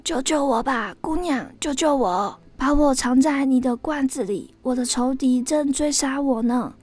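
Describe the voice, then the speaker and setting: A small talking snake from a storybook, voiced by a woman, speaking softly and earnestly in a raised voice. It is grateful and protective, a gentle creature of the forest. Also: high-pitched, measured pace, voice pushed up. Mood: pleading, urgent. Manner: soft-spoken, earnest.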